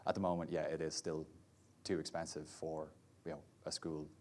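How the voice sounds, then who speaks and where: A man with a very deep voice talks casually as a panel speaker, with a flat, level delivery. He fills his sentences with "sort of" and "like", and there is a faint hum behind him. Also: normal speed. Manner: chatty, many fillers like "sort of" and "like".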